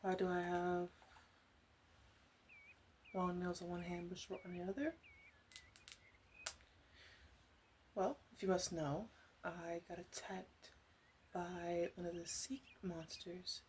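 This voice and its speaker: A woman playing a flirty, caring witch in an ASMR roleplay, soft-spoken and often whispering, with a gentle, intimate, hesitant way of talking. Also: on the low side, flat delivery, a little slow, pauses often, a little hiss or hum, close to an everyday voice. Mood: chatty, wry.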